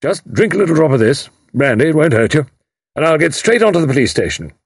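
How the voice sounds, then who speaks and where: A male narrator acts a bluff major in an audiobook. The voice is hearty and commanding with a soothing side, pitched up with lively swings and a dry sound. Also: fast, breathy edge, dry recording, full character voice. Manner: take-charge, hearty. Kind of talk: audiobook character voice.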